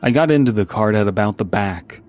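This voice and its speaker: A man with a fairly low voice narrates a creepy story in the first person. It is a scripted horror reading, with music playing faintly behind him. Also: expressive, a little fast, a little mellow. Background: background music.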